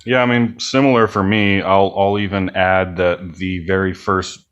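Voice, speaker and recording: A man's voice: low-pitched, little pitch movement, normal speed, a bit bright, smooth, highs cut off. A man from California with an American accent, telling casual anecdotes as a musician guest on a podcast. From California, United States.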